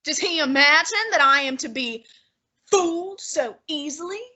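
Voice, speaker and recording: A woman's voice: high-pitched, lively intonation, normal speed, bright, forceful tone. A young woman voicing a pompous, imperious male detective in an amateur radio play, with a haughty, clipped manner. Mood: scornful. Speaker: high school drama student.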